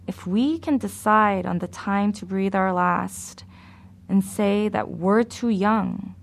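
A woman preaching a sermon in a slow, measured, deliberate way. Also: mid-range voice, vocal fry.

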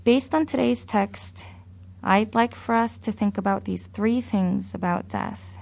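A woman preaching a sermon in a slow, measured, deliberate way. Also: medium pitch, vocal fry, a little mellow.

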